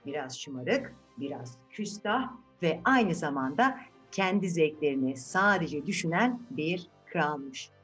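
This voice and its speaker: Animated woman telling a children's fairy tale. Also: low voice, slow pace, hardly any pauses, faint music behind. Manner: animated, conversational, checks in with listeners. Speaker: children's storyteller.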